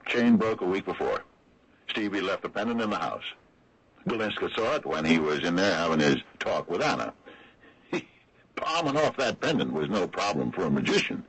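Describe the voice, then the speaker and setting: A wry small-town lawman in an old radio mystery broadcast. His voice is extremely deep, gravelly and rough, swinging expressively as he draws out each dry, sardonic point with deliberate, folksy hesitation. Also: sing-song, a crowd in the background, low-quality recording. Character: wry, slow-talking older small-town lawman exposing a cover-up. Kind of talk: 1970s radio mystery acting.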